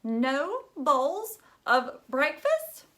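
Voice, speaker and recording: A woman's voice: high voice, very animated, very slow, sharp tone, pitched above the performer's own voice, exaggerated voice. A woman voicing an upset young girl from a children's novel, insistent and emphatic in protest.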